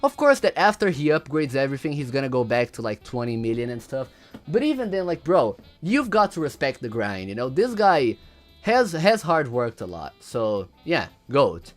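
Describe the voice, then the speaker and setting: Lively young man, Portuguese native speaker. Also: medium pitch, moderate pace, soft background music. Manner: rambling, repeats words, reads chat aloud. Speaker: livestreamer. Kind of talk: casual livestream commentary.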